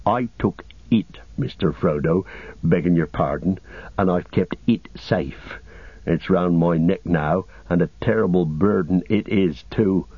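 Humble male hobbit servant, a man acting, deferential, rustic phrasing, lowish husky voice, storybook reading. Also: unhurried, dry recording, phone-quality sound. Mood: gentle, reluctant. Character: loyal, humble, plain-spoken hobbit manservant, practical and tender.

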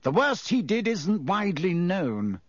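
A man voicing a pompous, uneasy older male government minister in an audiobook, gruff and patronising. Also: high voice, very animated, measured pace, no room sound, clean studio sound, pitched above the performer's own voice, exaggerated voice.

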